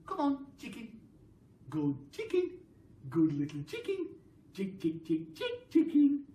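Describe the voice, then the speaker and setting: A head teacher voicing an eager, excitable young boy who shouts and coaxes. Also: high-pitched, slow pace, pitched above the performer's own voice, heavily acted. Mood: coaxing. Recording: roomy sound from a distant mic.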